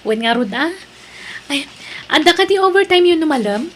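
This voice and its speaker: Woman acting a very high-pitched, chatty co-worker. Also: lively intonation, moderate pace, fairly bright tone. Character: chatty, helpful female office co-worker. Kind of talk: Ilocano audio drama.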